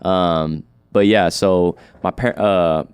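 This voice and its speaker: A man's voice: low voice, slow pace, smooth, no room sound. A man in his twenties chatting casually as a podcast guest, telling stories in loose, slangy, profane language. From United States. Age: 20s. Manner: slangy, profane, animated storyteller. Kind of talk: casual interview chat.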